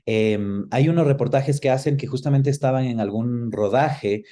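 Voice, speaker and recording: Man around forty, Ecuadorian accent, soft mellow timbre, mid pitch, reflective interview guest, searching for words. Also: moderate pace. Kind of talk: conversational interview answers.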